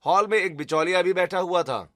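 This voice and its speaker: A man's voice: high voice, fairly quick, a bit bright, no echo, studio quality. A man giving scripted narration for a cartoon family story.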